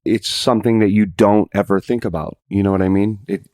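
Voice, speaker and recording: Male guest, lowish voice, modest and hesitant, thinking aloud in relaxed conversational podcast style. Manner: hesitant, self-effacing, halting. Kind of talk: casual podcast chat.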